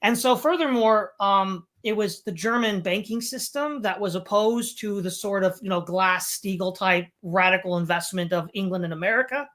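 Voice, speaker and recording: A woman's voice: mid-range voice, moderate pace, hardly any pauses, fairly bright tone. A woman interviewing on a podcast, speaking in an opinionated, rambling way with emphatic repetition.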